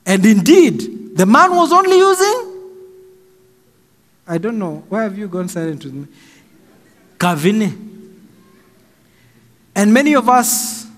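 A middle-aged Kenyan man preaching a slow, emphatic sermon from a pulpit stand mic in a large church hall. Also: sits high. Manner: slow and emphatic, raising his voice for stress. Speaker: pastor. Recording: long hall reverb, faint music.

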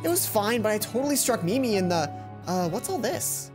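A woman voicing a cheery, sporty teenage schoolgirl in a visual novel, bright, casual and eager. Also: medium pitch, very animated, fairly quick, pitched above the performer's own voice, lightly acted. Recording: background game music.

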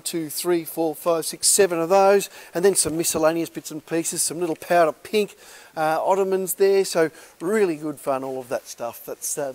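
A man's voice: high voice, a little mellow. A middle-aged man, walking through a large warehouse and giving a chatty, upbeat auction preview full of "uh" fillers. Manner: chatty and upbeat, many "uh" fillers, calls listeners folks. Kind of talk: casual walk-and-talk sales tour. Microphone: handheld camera while walking.

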